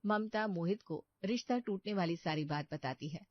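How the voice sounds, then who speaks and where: A woman's voice: lowish voice, moderate pace, no room sound. A woman reading scripted narration for a cartoon story. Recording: muffled dry close recording.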